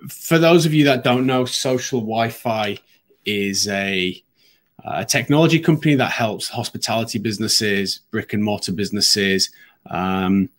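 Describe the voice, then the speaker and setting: A man's voice: lowish voice, measured pace, fairly bright tone. A man hosting a live business webinar, a bit sniffly from hay fever, talking conversationally with frequent ums and restarts. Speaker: webinar host.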